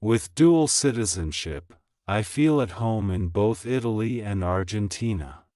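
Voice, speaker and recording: A man reading English practice sentences aloud, slowly and clearly, for learners to repeat. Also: fairly low pitch, dry recording, studio quality. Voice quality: plain, even reading of each sentence, repeated. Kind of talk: slow, clear scripted reading for shadowing practice.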